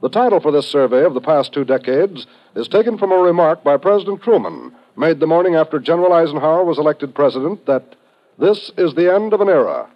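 A man's voice: fairly high pitch, expressive, fairly quick. A man announcing on 1950s network radio, reading a script in a formal, declamatory way. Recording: dull old radio recording.

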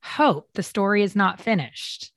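A woman's voice: mid-range voice, expressive, unhurried, fairly bright tone, dry recording, studio quality. A woman hosting an interview who encourages her guest with frequent affirming replies. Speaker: interview host. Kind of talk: casual interview conversation.

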